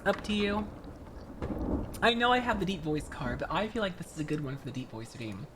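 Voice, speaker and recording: Streamer, casual live chat, rambling and friendly, lively pitch swings, faint background hiss. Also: a little fast. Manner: rambling, chatty, greets viewers warmly. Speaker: VTuber streamer. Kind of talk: casual live-stream chat while building a model kit.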